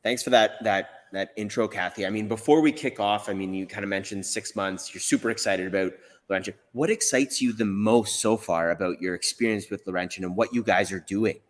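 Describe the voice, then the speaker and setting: Enthusiastic low-voiced male host. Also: a little fast, hardly any pauses, long reverb tail. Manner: enthusiastic, stumbling with repeats. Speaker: host, recruiter. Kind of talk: casual interview.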